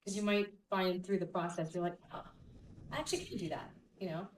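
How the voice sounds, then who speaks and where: A woman with a lowish voice talks an audience through her working process, with plenty of examples. She sounds far off from the mic, in a big, echoey convention room. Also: expressive, a little fast, a little hiss or hum. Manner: thoughtful, walks through examples. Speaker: voice actor on a panel. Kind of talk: explaining the craft to an audience.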